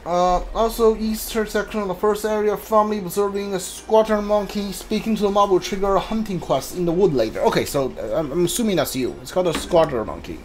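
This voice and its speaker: A streamer with a middling pitch plays through a video game. The delivery is informal, switching between reading dialogue aloud and muttered asides. Game ambience runs behind the voice. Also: a little fast, few pauses, slight background noise. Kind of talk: casual gameplay commentary, reading on-screen dialogue aloud.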